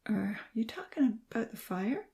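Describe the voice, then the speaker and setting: A woman's voice: high voice, normal speed, vocal fry, dark tone, voice pushed up. A woman voicing a sleepy young male patient full of guilt, speaking in a whispery voice. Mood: guilty whisper.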